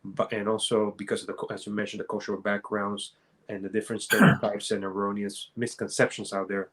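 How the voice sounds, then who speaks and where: A man from Rico, giving an earnest, halting, unscripted answer as an advocate on a panel. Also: fairly low pitch, level tone, a bit bright, husky. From Puerto Rico.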